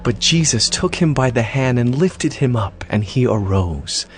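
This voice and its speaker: Male narrator for audio Bible, scripted storytelling, mid-range voice, clear music bed. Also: mid-range voice, animated, measured pace.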